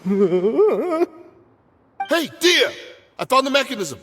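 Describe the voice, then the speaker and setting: Very high-pitched, eager companion. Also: male voice, sing-song, takes it slowly, a bit bright, a little hiss or hum, heavily acted. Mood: excited. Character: upbeat, eager male companion calling to a friend. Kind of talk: animated video game acting.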